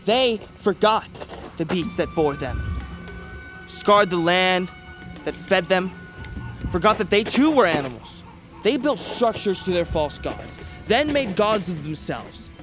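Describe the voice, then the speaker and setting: Slow, high-voiced mythic storyteller. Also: male voice, sing-song, fairly bright tone, soft background music, exaggerated voice, poor audio. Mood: solemn, ominous. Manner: portentous, incantatory. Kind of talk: dramatic scripted myth narration.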